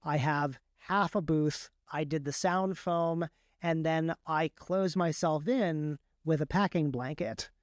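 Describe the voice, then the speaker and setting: A man's voice: a little high, takes it slowly, no room sound, clean studio sound. A man who works as an audiobook narrator, chatting casually and technically as a podcast guest in a rambling, enthusiastic way.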